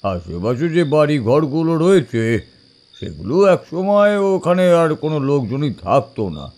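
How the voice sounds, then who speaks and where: An actor playing an older village uncle who tells eerie tales in an audio drama, conversational and emphatic with suspenseful pauses. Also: male voice, fairly high pitch, big pitch swings, darkish tone. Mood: explaining. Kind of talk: Bengali audio drama storytelling.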